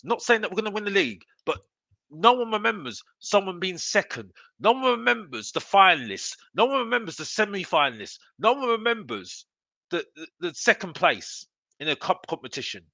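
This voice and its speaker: A man's voice: sits high, very animated, normal speed, creaky, bright, forceful tone, no room sound. A man hosting a live football fan show, ranting in an emphatic, repetitive, exasperated way. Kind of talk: live opinionated fan rant to viewers.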